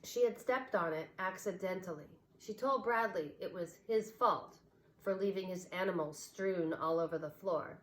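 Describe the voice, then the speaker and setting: A woman teacher reading a children's novel aloud, expressive and changing voices for the characters. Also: fairly low pitch, moderate pace, slight background noise, poor audio.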